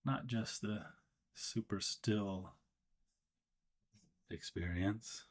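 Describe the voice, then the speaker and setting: A man leading a guided meditation as the guide, speaking gently and without hurry, often pausing mid-sentence. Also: lowish voice.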